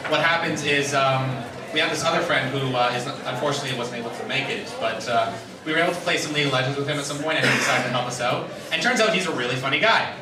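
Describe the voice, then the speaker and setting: A man's voice: medium pitch, far from the mic. Guy stumbling thru panel talk, noisy echoey room, recorded from way back. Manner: halting, stammering, loosely rambling. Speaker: convention panelist, fan dubber. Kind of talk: informal panel talk to a live audience.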